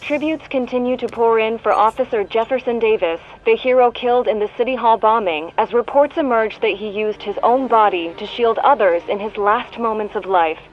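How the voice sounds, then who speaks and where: Muffled broadcast audio carries a woman acting as a female news anchor in a video game. She reads formally and solemnly in a slightly high voice, with faint noise behind. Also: normal speed, hardly any pauses, close to an everyday voice. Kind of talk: scripted news reading.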